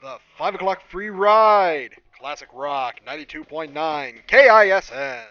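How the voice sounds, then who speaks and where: A man playing a loud, opinionated talk-radio host, ranting with punchy, indignant emphasis in bombastic DJ patter. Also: high-pitched, expressive, unhurried, vocal fry, no room sound, lo-fi sound, pitched above the performer's own voice, heavily acted. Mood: hyped.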